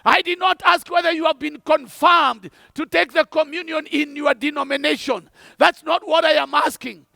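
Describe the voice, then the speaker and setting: High, gravelly shouting preacher man. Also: steady tone, normal speed, hardly any pauses, dry recording, clean studio sound. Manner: fervent, repetitive, preaching. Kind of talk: shouted evangelistic sermon.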